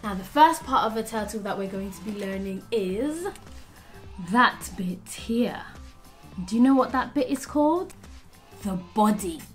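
A young woman presenting a children's lesson, animated and encouraging, speaking clearly for small children. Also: mid-range voice, a little slow, faint music behind. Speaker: early years teacher and host. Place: small room with plain walls, indoors.

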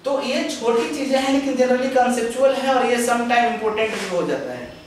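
A young man teaching a grammar lesson at a chalkboard, explaining step by step, drawing out example sentences slowly, and answering his own questions. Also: extremely high pitch, recorded from a distance, a little hiss or hum. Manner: mixes English phrases into Hindi explanations; asks questions and answers them himself. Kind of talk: classroom grammar lecture at a chalkboard. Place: classroom with a chalkboard. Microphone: far camera. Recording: echoey room recorded from afar.

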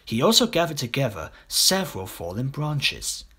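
A man reading a children's story aloud as an expressive audiobook narrator. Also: medium pitch, normal speed, husky. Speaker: audiobook reader.

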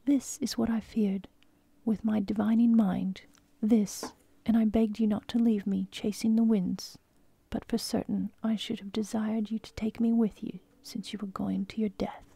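A devoted wife mourns her drowned husband in a slow, hushed whisper, as a woman puts her on. The voice is husky and gravelly, with a mellow tone. It is dry and close, as in a storybook reading. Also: mid-range voice, little pitch movement, no room sound. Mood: grief-stricken. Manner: soft, slow unvoiced whisper. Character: grieving young wife, desperate and devoted.

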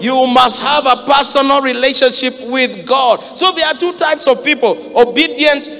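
A Kenyan man in his thirties preaches with a very high, sharp, forceful voice, driving home each point with shouts. He speaks into a handheld mic, and his voice rings with the long reverb of a big church hall. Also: moderate pace. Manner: fiery, shouting emphasis, mixes Swahili quotes. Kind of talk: sermon.

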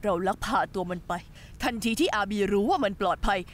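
A woman's voice: medium pitch, big pitch swings, brisk, a little hiss or hum, lightly acted. An actress dubbing a scheming young woman who urgently coaxes and scolds her friend in a TV drama. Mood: coaxing. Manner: urgent, coaxing. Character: scheming young woman, calm coaxing accomplice. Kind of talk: dubbed TV drama.

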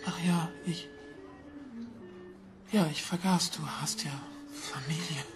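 An actress playing a brooding woman in a German radio crime drama, breathy and sometimes nearly whispering. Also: sits low, steady tone, takes it slowly, over music. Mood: bitter.

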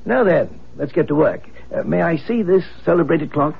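A man playing a courteous, formal psychic investigator with a raspy voice, in vintage radio drama. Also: on the high side, lively intonation, heavily acted. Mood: intent. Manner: formal, polite, deliberate. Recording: muffled lo-fi old radio broadcast.

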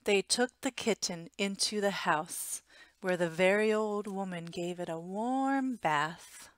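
A woman's voice: lowish voice, a bit bright, no room sound. A woman in her thirties reading aloud expressively for young children, with dramatic emphasis and asides to listeners, on a headset mic indoors. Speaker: storytime reader. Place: small indoor room at home. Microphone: headset boom mic.

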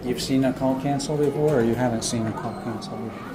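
A man's voice: mid-range voice, fast talker. A man casually narrating to a phone camera as he walks through a large department store, asking short plain questions. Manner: relaxed, plain-spoken, asks short questions. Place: large indoor department store in a shopping mall. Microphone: handheld phone camera. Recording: busy store noise behind.

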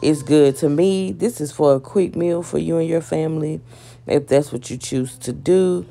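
A woman chats her way through a home recipe, easygoing and talkative. Her voice sits low and dark, with a gravelly fry running under it. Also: normal speed, soft background music. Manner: relaxed, chatty, talks viewers through steps. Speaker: home cooking vlogger. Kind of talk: casual cooking vlog, voice-over narration. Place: home kitchen.

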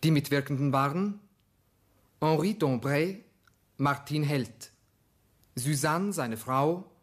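A man's voice: on the high side, slow pace. A man working as a radio announcer, formally reading out scripted credits.

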